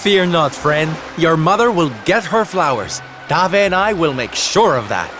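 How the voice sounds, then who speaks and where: A man's voice: fairly high pitch, animated, unhurried. A man voicing a flamboyant, theatrical male entertainer who calls people honey and darling, in a video game cutscene. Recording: game audio with loud music behind.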